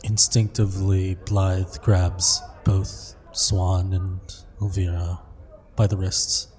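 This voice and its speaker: A male tabletop player narrates his character's actions dramatically. He speaks very slowly in a low voice over clear music. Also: level tone, darkish tone.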